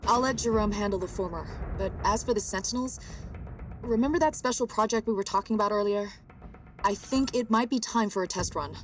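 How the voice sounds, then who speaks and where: An actress playing a practical, wry science professor on a mission in a video game. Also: female voice, medium pitch, brisk, natural voice. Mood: matter-of-fact. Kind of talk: dramatic video game acting. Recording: music behind the voice.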